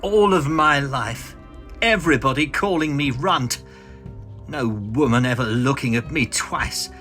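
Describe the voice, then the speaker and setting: An actor playing a small, bitter, frantic mad professor in a vintage comic audio drama, agitated, halting and melodramatic. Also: male voice, medium pitch, pushed and bright, faint music behind. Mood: awed, smitten.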